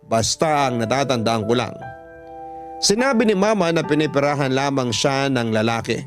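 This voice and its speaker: A Filipino man reads a personal story in the manner of a radio drama host. His pitch sits in the middle range. Music plays behind his narration. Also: expressive, moderate pace.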